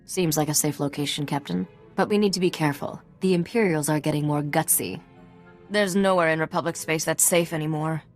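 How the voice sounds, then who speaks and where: Low-pitched, wary starship crewwoman. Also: fairly quick, soft background music. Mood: businesslike. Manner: earnest, sardonic. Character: sharp, cautious female starship crewmate of the captain. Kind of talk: video game cutscene acting.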